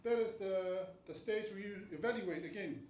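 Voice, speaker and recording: High-voiced man presenting in a roomy hall. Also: normal speed, darkish tone. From Tonga. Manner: earnest, explanatory, asks rhetorical questions. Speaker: lecturer on a panel. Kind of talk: academic panel presentation. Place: panel venue room. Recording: roomy, distant mic, faint noise.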